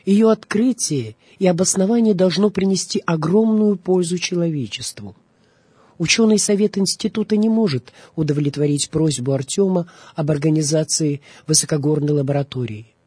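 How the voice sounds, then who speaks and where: A woman's voice: low voice, normal speed, warm tone, husky, dry recording. A woman reading a scripted documentary narration as the narrator of a radio programme. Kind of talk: scripted documentary narration about film and theatre.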